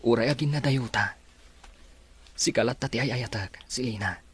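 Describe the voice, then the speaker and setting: A man's voice: medium pitch, moderate pace, slightly rough. A man with an Ilocano accent acting an earnest, resigned farm worker and devoted sweetheart in a radio drama. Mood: tender, sad.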